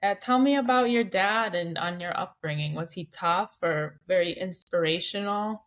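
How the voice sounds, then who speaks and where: A woman interviewer speaking in a conversational way, feeling out her phrases with hesitant pauses. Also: on the low side, highs cut off.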